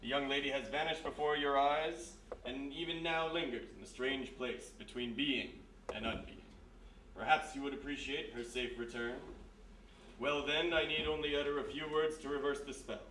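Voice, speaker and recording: A man's voice: medium pitch, steady tone, moderate pace, pushed and bright, natural voice. A man playing a grandiose, florid stage magician of the occult in solemn old-time radio mystery style. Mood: lofty, solemn. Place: live performance in a roomy historic house. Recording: echoey live stage, distant mic, hiss.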